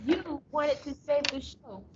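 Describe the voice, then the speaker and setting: A woman's voice: high-pitched, lively intonation, a little slow, a crowd in the background. A woman playing a sardonic, impatient radio actress in a radio play, biting and dramatic. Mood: pressing. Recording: dull lo-fi recording.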